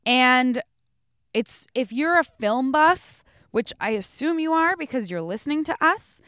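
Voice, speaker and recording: A woman talks about a film she loved in an easy, emphatic podcast chat. Her voice sits a little high and comes through smooth and resonant. The recording is dry, with no room around her. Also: animated, unhurried, fairly bright tone, no room sound. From North America. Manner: enthusiastic, emphatic. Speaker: podcast co-host. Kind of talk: casual film review chat.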